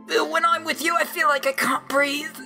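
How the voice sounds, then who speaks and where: A woman's voice: high-pitched, steady tone, measured pace, gravelly, pushed and bright, faint music behind, heavily acted. A woman voicing a prim, polite young noblewoman struggling in a tight corset, in an improvised comedy dub. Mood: breathless, resigned.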